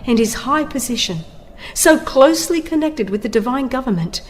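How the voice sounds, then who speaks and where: A woman's voice: sits high, expressive, moderate pace, a little hiss or hum. A woman narrating a religious audiobook in a solemn, formal, dramatic scripted delivery. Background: music under the voice.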